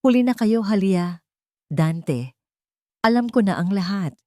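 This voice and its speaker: A woman's voice: mid-range voice, animated, a little slow, darkish tone, no echo, natural voice. A woman performs an agitated, defiant man in an audio drama. He sounds urgent and nervous as he confronts those who betrayed him, recorded close and dry. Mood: nervous defiance.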